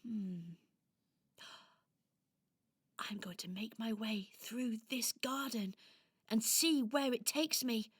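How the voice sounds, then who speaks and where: Gentle, frightened little toy, played by a woman for children's radio drama: high, unhurried, tearful-sounding and faltering. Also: breathy edge. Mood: frightened, hesitant. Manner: hesitant, plaintive, stammering. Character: timid lost Christmas toy, gentle, tearful and easily frightened.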